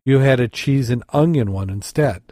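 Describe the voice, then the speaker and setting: A man's voice: mid-range voice, moderate pace, warm tone, dry recording, studio quality, natural voice. A man voicing a chatty male pub barman in a joke he reads aloud on a podcast. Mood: eager.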